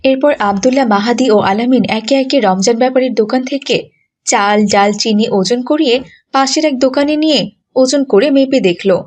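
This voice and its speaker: Female storyteller for children's animation: scripted narration, moderately high register. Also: normal speed, darkish tone.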